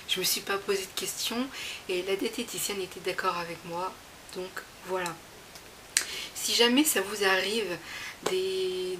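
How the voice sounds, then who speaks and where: A woman speaks casually to her viewers in a home vlog, talking in a reassuring, chatty way and often pausing on small fillers. Her pitch sits in an ordinary middle range. A constant hiss runs underneath the recording. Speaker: vlogger sharing her weight-loss progress. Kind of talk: casual direct-to-camera vlog. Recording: audible background hiss.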